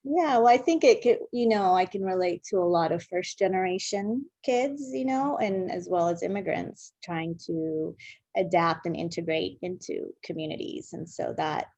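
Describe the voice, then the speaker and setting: Interview guest: American woman, noticeable vocal fry, close dry sound, thoughtful, emphatic delivery. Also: lowish voice, moderate pace, darkish tone, no room sound. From Southern California, United States. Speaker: interviewed guest, dance artist and educator. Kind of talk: conversational interview, reflective explaining.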